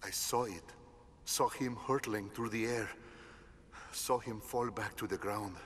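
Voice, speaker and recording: A man's voice: on the low side, unhurried, fairly bright tone, slight background noise. A man playing a grieving, guilt-ridden villager in a fantasy video game, speaking in solemn, old-fashioned phrases. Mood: guilty, grieving. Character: grieving, guilt-ridden male villager ashamed of his cowardice.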